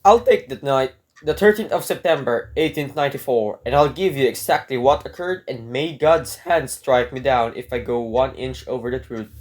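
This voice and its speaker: A man acts out a desperate jailed burglar in a radio play. He speaks at a middling pitch in formal, old-fashioned phrases. His delivery is earnest, theatrical and pleading. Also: darkish tone, lightly acted. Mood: solemn, storytelling. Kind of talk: dramatic radio play monologue and narration.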